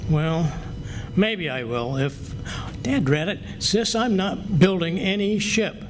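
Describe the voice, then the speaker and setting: Man as excitable boastful husband, high voice, very lively intonation, grumbling, radio comedy, noisy recording. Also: takes it slowly, faint music behind. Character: blustering, exasperated, gullible husband. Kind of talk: old-time radio comedy acting. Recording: noisy live stage recording.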